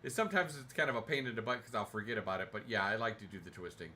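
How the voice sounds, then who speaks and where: A man with a bright, forceful voice chats casually on a home game stream, sitting back in a recliner. He reads viewer comments aloud and answers them in a loose, rambling way, often restarting sentences and filling gaps with "uh" and "um." He sometimes drifts away from his desk mic. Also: lowish voice, racing, a little hiss or hum. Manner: relaxed, rambling, friendly, many fillers and restarts. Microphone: desk mic, sometimes too far from it.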